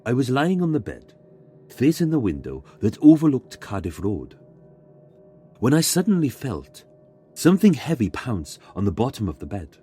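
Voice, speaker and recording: A man reading a scripted ghost story in a measured, dramatic way, pausing for suspense. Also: medium pitch, wildly swooping pitch, dark tone, husky, faint music behind. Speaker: guest narrator reading a submitted ghost story.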